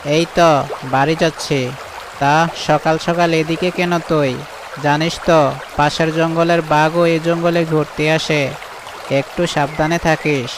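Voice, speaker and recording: Man voicing a highish-pitched, chatty cartoon jackal. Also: flat delivery, measured pace, background noise, close to an everyday voice. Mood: cautious, chatty. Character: friendly, hardworking male jackal orchard keeper. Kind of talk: cartoon voice acting.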